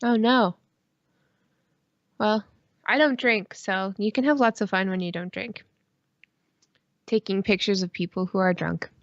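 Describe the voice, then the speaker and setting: A woman's voice: medium pitch, a little slow, long pauses, no room sound, muffled recording. A woman co-hosting a casual livestream with goofy, crude, sweary banter. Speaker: podcast stream co-host.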